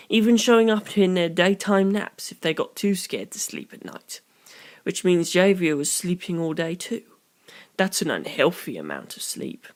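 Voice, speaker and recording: A calm young detective as acted by an audiobook narrator lays out grim theories in formal language. The delivery is deliberate and analytical, at a medium pitch. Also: natural voice. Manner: measured, formal, deliberate phrasing.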